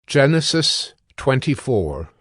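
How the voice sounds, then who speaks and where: A man narrating a dramatized scripture reading in a measured, formal, scripted style. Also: medium pitch, sing-song, a bit bright.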